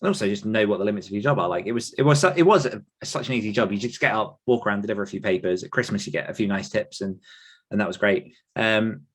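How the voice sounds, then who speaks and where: A man's voice: fairly low pitch, quick pace, a bit bright. A British man telling casual personal anecdotes as an interview guest, chatty and self-effacing, often thinking aloud. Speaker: podcast guest and author.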